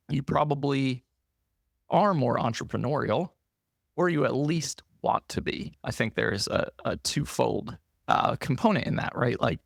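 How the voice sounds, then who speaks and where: A man talks straight to his podcast listeners in an earnest, encouraging way, filling pauses with "um" and "like". His voice is gravelly and worn, as if he is losing it. The sound is close and dry, with no room around it. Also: mid-range voice, no echo. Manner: earnest, encouraging, frequent fillers like "um" and "like". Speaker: podcast host. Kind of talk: solo podcast monologue, coaching tone.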